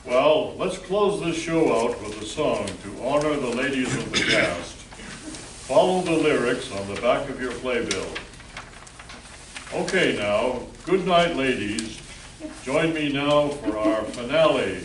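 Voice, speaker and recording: A man's voice: medium pitch, expressive, measured pace. A man narrating and emceeing a scripted live amateur radio play. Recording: distant echoey room, faint noise.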